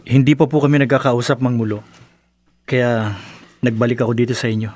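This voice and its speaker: A man's voice: mid-range voice, little pitch movement, brisk, darkish tone, lightly acted. An actor playing an aggrieved, stubborn young man in a radio drama, pleading and hesitant in his delivery. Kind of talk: Filipino radio drama acting.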